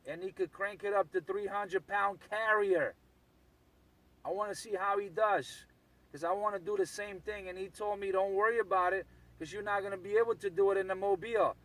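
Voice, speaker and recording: A man's voice: high voice, a bit bright, poor audio. An insistent man, ranting casually and repetitively as a CB radio operator.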